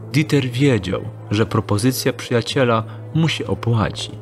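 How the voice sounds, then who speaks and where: Male audio drama narrator over music. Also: on the low side. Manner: steady descriptive storytelling.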